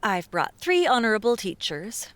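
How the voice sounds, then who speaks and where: A man's voice: high voice, sing-song, normal speed, bright, forceful tone, no echo, pitched above the performer's own voice, exaggerated voice. A male narrator voicing a poised, confident young woman with an assured, slightly teasing way of talking. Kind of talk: audiobook character voice.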